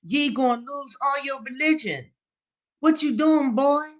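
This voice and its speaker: Lady reading as a worried old church-going man, high pitched, scolds and pleads, stumbles and repeats himself, folksy. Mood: anxious scolding. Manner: halting, repeating, folksy dialect. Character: elderly pious man, fretful, scolding and pleading. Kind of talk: dramatic novel reading.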